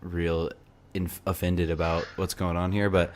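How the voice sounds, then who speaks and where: A man's voice: low-pitched, flat delivery, unhurried, a little hiss or hum. A young man hosting a podcast in casual chat, rambling with lots of restarts and filler, and losing his voice. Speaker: podcast host. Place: apartment beside a busy night market.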